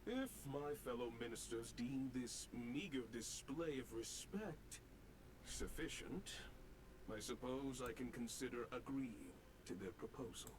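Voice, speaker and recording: Man acting a slow, haughty ancient spirit minister. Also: mid-range voice, expressive, noisy background, close to an everyday voice. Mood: grudging disdain. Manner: pompous, scornful, archaic. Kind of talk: video game voice acting.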